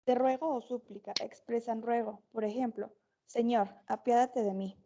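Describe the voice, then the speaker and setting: Female student, reading aloud, higher voice, mellow tone, lo-fi distant audio. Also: fairly even intonation, normal speed.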